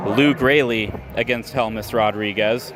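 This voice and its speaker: A man calling baseball play-by-play for radio, setting the action against stats in a steady, measured delivery, recorded live at an outdoor ballpark. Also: medium pitch, over music. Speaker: play-by-play announcer. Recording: noisy outdoor ballpark ambience.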